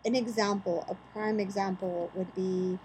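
A woman's voice: medium pitch, steady tone, normal speed, a little mellow, a little hiss or hum, low-quality recording. A woman co-hosting a podcast in casual chat, arguing her points with rhetorical questions. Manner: opinionated, builds arguments with rhetorical questions. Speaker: podcast co-host.

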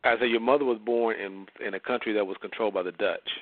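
A man's voice: fairly low pitch, steady tone, fast talker, no echo. A man hosting a conversational interview, asking questions and giving short affirmations. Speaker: interview host. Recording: tinny phone-line audio.

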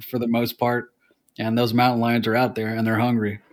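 A man's voice: medium pitch, monotone, moderate pace. A man joking wryly in a casual podcast chat. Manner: joking, wry.

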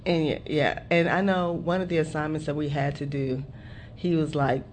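A woman's voice: deep voice, steady tone, moderate pace. A woman hosting a casual radio chat, asking questions and laughing easily. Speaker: radio show host. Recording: noisy studio recording.

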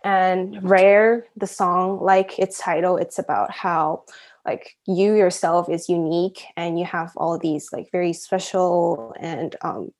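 A woman's voice: lowish voice, steady tone, slow pace, a little mellow. A young woman from Shanghai chatting casually as an interview guest, giggly and scattering "like" through her talk. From Shanghai, China. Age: young adult. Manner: chatty, giggly, frequent use of "like". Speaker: interview guest, university student. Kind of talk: casual chat, personal anecdotes.

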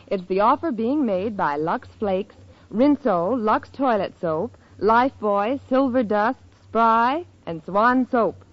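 A woman's voice: a little high, expressive, slow pace, dry recording. An American woman delivering a scripted advertisement in 1940s radio announcing style. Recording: dry, dull old radio recording.

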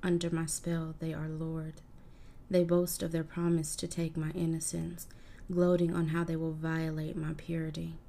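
A woman's voice: sits low, monotone, moderate pace, a little hiss or hum. Medusa, a woman murmuring as a proud cursed gorgon. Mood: hushed defiant. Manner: hushed, breathy poetic recitation.

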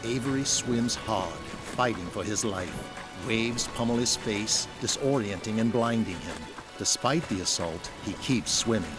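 Male narrator for audio drama: lowish pitch, scripted dramatic read, clear score behind. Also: unhurried, poor audio. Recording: music behind the voice.

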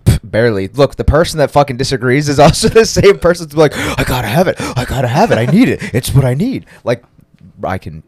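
Casting: deep smooth-voiced man, laid-back podcast co-host, lively delivery, dry sound. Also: fast talker, no room sound. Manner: laid-back, teasing, swears freely. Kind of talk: casual chat.

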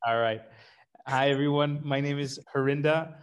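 A man's voice: fairly high pitch, fairly even intonation, normal speed, smooth. A middle-aged man from Sri Lanka co-hosting a casual podcast, self-deprecating and wry, with many fillers. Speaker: startup co-founder, podcast host.

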